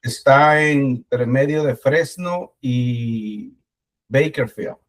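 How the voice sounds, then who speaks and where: A man from El Salvador, a church planter, introducing himself haltingly on a class video call. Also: mid-range voice.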